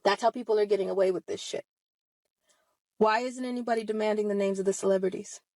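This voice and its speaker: Woman, 30, online host. Impassioned, confrontational testimony, mid-pitched, dry close sound. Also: steady tone, fast talker, darkish tone, no room sound. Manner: emphatic, confrontational, rhetorical questions. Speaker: online video host speaking about personal experience. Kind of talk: impassioned personal testimony straight to audience.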